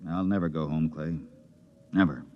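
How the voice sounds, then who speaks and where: A man's voice: extremely low pitch, fairly even intonation, normal speed, very noisy. An American man acting a weary, plainspoken frontier spaceman who narrates his story in a 1950s radio drama. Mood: wry, resigned. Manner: plainspoken, world-weary, slangy. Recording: muffled vintage radio recording with music.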